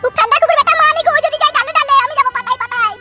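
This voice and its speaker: A performer voicing an aggrieved, sharp-tongued village mother in a comic cartoon, shrill and complaining, with a rural West Bengal accent. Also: rapid-fire, faint music behind, exaggerated voice. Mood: indignant.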